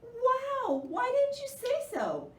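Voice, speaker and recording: A woman's voice: very high-pitched, wildly swooping pitch, slow pace, fairly bright tone, voice pushed up, heavily acted. A woman reading the part of an exasperated, flustered storybook author in an indignant, theatrical way. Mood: giving in. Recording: roomy, distant mic, faint noise.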